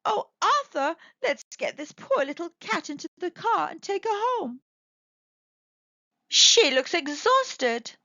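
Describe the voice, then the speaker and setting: A woman's voice: very high voice, big pitch swings, takes it slowly, sharp tone, voice pushed up, heavily acted. A woman voicing a kindly, genteel old lady who fusses over cats in a children's storybook. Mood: tender. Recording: slightly dull, echoey home recording.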